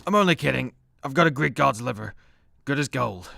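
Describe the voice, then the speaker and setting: Casting: male actor as washed-up immortal superhero, self-deprecating and hesitant, fry in the voice, dry studio radio-comedy sound. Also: medium pitch, lively intonation, brisk, bright, forceful tone, dry recording, exaggerated voice. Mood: dryly joking. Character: weary, hard-drinking immortal Greek superhero, awkward and self-deprecating.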